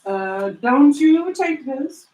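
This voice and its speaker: A woman's voice: sits high, lively intonation, very slow, a little mellow, exaggerated voice. A woman playing a wary, tough male police detective in a script reading. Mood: guarded. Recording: echoey room, distant mic.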